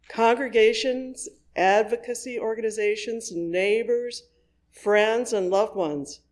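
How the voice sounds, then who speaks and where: A worship leader reading a scripted service in a measured, deliberate way with pauses between phrases. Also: medium pitch, darkish tone.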